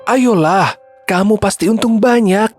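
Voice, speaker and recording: A man voicing an eager, generous, persuasive buyer in an animated children's story. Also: high-pitched, unhurried, slightly rough, faint music behind. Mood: coaxing. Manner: earnest, persuasive. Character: generous, eager, good-hearted male buyer.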